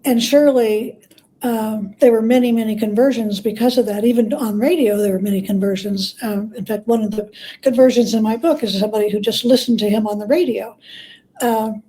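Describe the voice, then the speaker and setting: A woman's voice: fairly high pitch, steady tone, a little fast, warm tone, low-quality recording. A woman speaking as an author and guest in an interview, recounting anecdotes in a conversational way.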